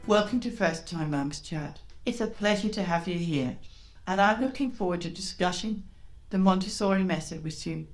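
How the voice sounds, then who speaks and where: A woman's voice: on the low side, moderate pace, faint music behind. A woman hosting a parenting podcast, speaking in scripted introductions and interview questions. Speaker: podcast host, childcare educator.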